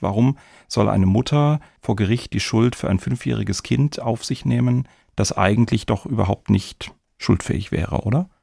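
Gravelly low-voiced male podcast host. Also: darkish tone, no echo, clean studio sound. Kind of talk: conversational film and legal discussion.

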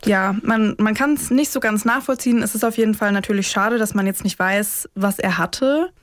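A woman's voice: medium pitch, moderate pace, dry recording. Woman podcast co-host, dry close recording. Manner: colloquial, conversational. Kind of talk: casual true-crime podcast discussion.

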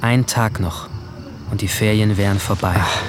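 A man playing a brooding, alienated seventeen-year-old who narrates his guilt in a hushed, introspective radio drama style. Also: on the low side, steady tone, moderate pace, very noisy, close to an everyday voice. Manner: hushed, introspective, at times whispering.

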